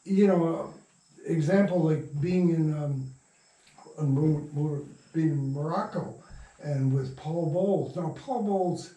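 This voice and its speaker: Older male, higher-set mellow voice, meandering halting storyteller in a casual interview, roomy sound. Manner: halting, meandering, trailing off mid-thought. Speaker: interviewed radio play writer and producer. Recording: roomy, distant from the mic.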